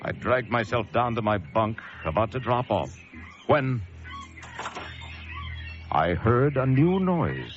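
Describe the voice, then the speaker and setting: A haunted lighthouse keeper tells of horror in a suspense radio play. His delivery is intense and theatrical, with animated swings in pitch. The recording is muffled, with music clearly behind him. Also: slow pace, long pauses. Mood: dread. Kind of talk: old-time suspense radio drama narration.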